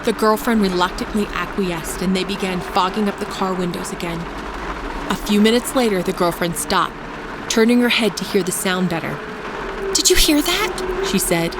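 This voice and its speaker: A woman reading a scripted dark suspense story as a podcast narrator. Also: on the low side, normal speed, very noisy.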